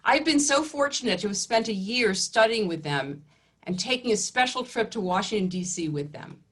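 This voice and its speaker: A woman leading an online religious service, giving calm, formal welcoming remarks over a home video call. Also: medium pitch, normal speed. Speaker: rabbi or educator leading a service.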